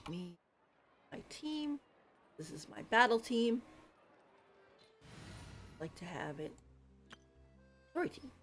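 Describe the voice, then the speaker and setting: A woman with a fairly high voice hosts a game livestream. She chats casually and thinks out loud, with faint music behind her. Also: sing-song, takes it slowly, long pauses. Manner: informal, thinking aloud. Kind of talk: casual game stream chat.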